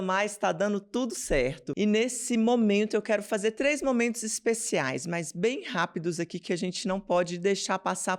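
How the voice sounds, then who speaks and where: A woman from Minas Gerais, Brazil, hosts a devotional podcast from her home studio. Her voice is smooth and resonant. She talks to her listeners as if they were close friends, and she leads them in prayer. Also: mid-range voice. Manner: chatty, addresses listeners directly as girls and friends.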